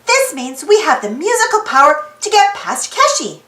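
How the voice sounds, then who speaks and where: A woman's voice: extremely high pitch, very animated, moderate pace, pushed and bright, echoey room, pitched above the performer's own voice, exaggerated voice. A woman voicing an eager, hopeful little girl with an earnest, exclamatory manner in a storybook. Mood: thrilled.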